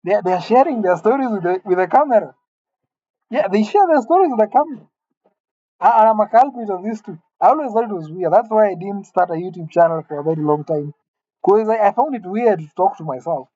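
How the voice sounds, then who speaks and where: A man's voice: high voice, lively intonation, fairly quick, mellow, muffled recording. Wry, rambling man in his late twenties. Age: late 20s. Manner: wry, rambling, self-mocking. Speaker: vlogger. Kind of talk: musing solo monologue to camera. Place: empty room.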